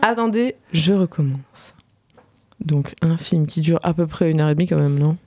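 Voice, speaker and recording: Mellow-voiced woman hosting radio. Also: low-pitched, expressive, moderate pace. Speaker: radio show host. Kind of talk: casual culture show chat. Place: radio studio.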